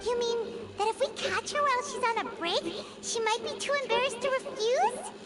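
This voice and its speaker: A tiny, squeaky game sidekick with an extremely high childlike voice. It is chirpy and excitable, swooping wildly in pitch, over loud background noise. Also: female voice, moderate pace. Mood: eager, scheming. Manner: refers to herself in third person, exclaiming. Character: tiny floating childlike companion, chirpy, excitable, easily spooked. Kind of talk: video game character acting.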